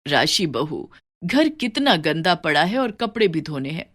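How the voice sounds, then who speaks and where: Woman playing a bossy middle-aged mother-in-law, lower-voiced. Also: big pitch swings, unhurried, exaggerated voice. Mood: scolding. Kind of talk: moral story character dialogue.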